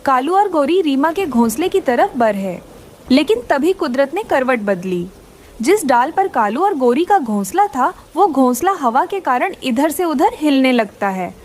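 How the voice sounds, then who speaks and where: Woman storyteller for a kids cartoon, high voice, scripted narration, slight background noise. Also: sing-song, unhurried, darkish tone.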